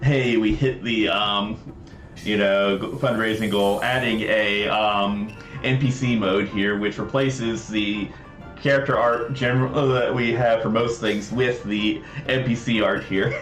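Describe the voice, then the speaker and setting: A man's voice: on the low side, fairly even intonation, measured pace, a bit bright. A man presenting a casual livestream demo, explaining things in a rambling way with frequent asides. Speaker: presenter demonstrating a visual novel project. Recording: roomy echo, faint music behind. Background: faint music.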